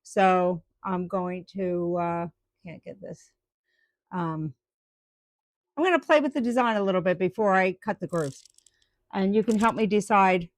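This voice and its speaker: A woman's voice: lowish voice, animated, a little slow, pauses often, no echo. A woman chatting live with viewers about her woodturning, rambling with lots of ums and restarts. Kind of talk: casual live-stream chat.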